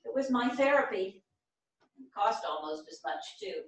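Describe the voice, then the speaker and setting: A woman's voice: a little high, very animated, normal speed. A woman playing a free-spirited widow with Zen leanings in a staged play reading, recorded in a theater. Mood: wistful. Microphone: far camera. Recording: echoey theater, distant camera microphone.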